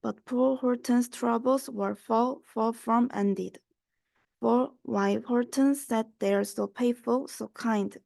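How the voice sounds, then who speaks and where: A woman's voice: on the high side, steady tone, slow, gravelly, a little mellow, no room sound. A young woman reading a children's rhyming story aloud. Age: young adult. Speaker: student reader.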